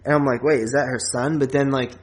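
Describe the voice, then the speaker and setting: A man talks in an average-pitched voice, as a podcast co-host casually reviewing a film. His phrasing is halting and hesitant, as if he is working out each opinion while he speaks. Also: fairly even intonation.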